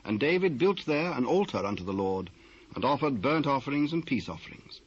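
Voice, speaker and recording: A man with a British accent narrating dramatised scripture in a measured, formal manner. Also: mid-range voice, sing-song, a little hiss or hum. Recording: muffled old cassette transfer.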